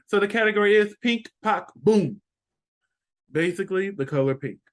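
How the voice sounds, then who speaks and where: A man's voice: high-pitched, expressive. A sassy, playful man with a Texan accent, co-hosting a casual review chat. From Texas. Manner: sassy, playful, drawn-out emphasis. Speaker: co-host.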